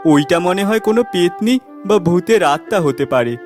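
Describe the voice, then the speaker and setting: A curious, sensible cartoon boy, played by a man, talks in a fairly high, expressive voice. There is clear music behind him. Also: normal speed. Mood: alarmed. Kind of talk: cartoon voice acting.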